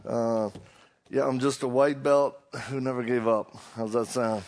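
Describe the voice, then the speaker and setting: A man's voice: medium pitch, steady tone, a little slow, darkish tone, slight background noise. A middle-aged man from Louisiana with a Cajun accent, a preacher giving a hyped-up, self-deprecating comic talk to a live audience. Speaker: preacher and motivational speaker.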